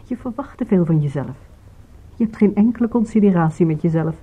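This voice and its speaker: Husky, composed detective's companion, muffled recording. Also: female voice, fairly low pitch, lively intonation, a little fast. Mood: gently teasing. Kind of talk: radio drama acting.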